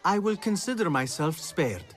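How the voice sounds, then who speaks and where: A man's voice: fairly high pitch, big pitch swings, moderate pace. An actor playing an ambitious, defiant young male street thief in a game, with an earnest, insistent manner. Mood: wry, curious. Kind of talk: dramatic game cutscene acting.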